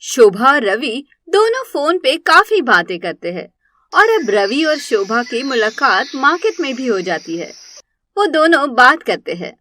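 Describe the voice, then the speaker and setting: A woman's voice: sits high, sing-song, measured pace, bright, forceful tone, no echo. A woman narrating a scripted story.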